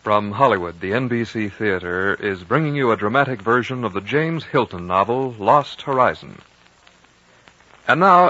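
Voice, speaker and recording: Bright, low-voiced American radio announcer. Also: male voice. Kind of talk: formal 1950 radio announcing. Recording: dull 1950 radio broadcast.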